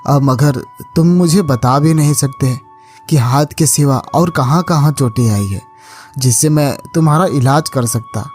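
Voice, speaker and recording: A man's voice: mid-range voice, a little slow, a little mellow, lightly acted. Man as a kind cartoon village farmer, music behind. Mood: concerned, pitying. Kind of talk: Hindi cartoon moral story acting.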